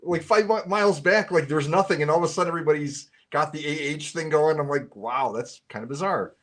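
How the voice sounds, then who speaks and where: A man's voice: fairly high pitch, brisk, a bit bright. A man appearing as a guest in a casual live-stream chat, talking in an easygoing, self-deprecating way.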